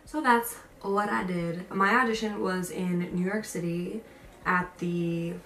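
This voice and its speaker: Young female vlogger, lowish voice, informal and chatty storytelling, echoey room with faint noise. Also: fairly even intonation, slow pace, a bit bright. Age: young adult. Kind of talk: casual storytelling to viewers with advice. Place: indoor room with a picture on the wall. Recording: roomy echo, distant mic, faint noise.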